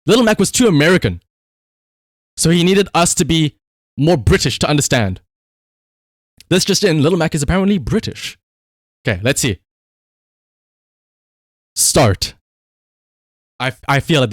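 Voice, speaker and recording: A man with a South African accent commentating on a live gaming stream and calling out voice commands in an excitable way. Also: on the high side, moderate pace, long pauses, dry recording, studio quality. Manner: animated, excitable, exclamatory. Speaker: livestreamer.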